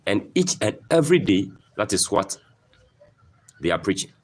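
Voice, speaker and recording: A man's voice: lowish voice, big pitch swings, normal speed. A man from Ghana with a Ghanaian English accent, giving an emphatic motivational talk about farming and often repeating himself and asking "okay?". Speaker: poultry farmer.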